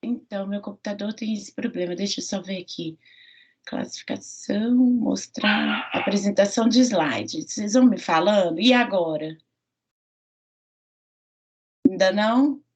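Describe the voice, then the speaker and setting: A woman's voice: medium pitch, normal speed, darkish tone. Woman with a Minas Gerais accent lecturing. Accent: Minas Gerais Portuguese. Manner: friendly, fillers and tag questions. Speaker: university professor. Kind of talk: online lecture. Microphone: computer mic on a video call. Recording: dull lo-fi video call audio.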